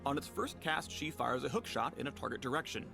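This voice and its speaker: Male game narrator over clear music. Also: medium pitch, lively intonation, fairly quick, sharp tone. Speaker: game trailer and tutorial narrator. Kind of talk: scripted promotional game narration. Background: music under the voice.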